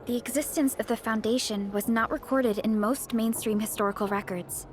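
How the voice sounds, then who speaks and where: A woman playing a composed, knowledgeable, earnest young colleague in a video game, with a measured, explanatory delivery. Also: a little high, fairly even intonation, background noise, lightly acted. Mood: thoughtful, informative. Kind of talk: video game story voice acting.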